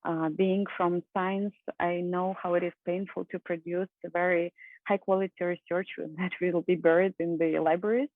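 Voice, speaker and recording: A woman from Russia in her thirties giving an earnest conference talk over a video call, with frequent fillers and soft laughs. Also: fairly low pitch, flat delivery, moderate pace, no room sound. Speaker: conference speaker. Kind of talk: presentation talk. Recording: phone-like dry online call.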